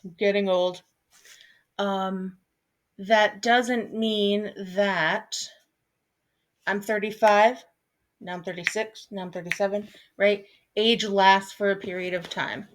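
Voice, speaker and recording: A woman in her thirties, a teacher, explaining a lesson in a relaxed, chatty way, with frequent ums and small asides. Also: medium pitch, slow pace. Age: 30s. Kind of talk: recorded online lesson. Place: at home, seated at a desk.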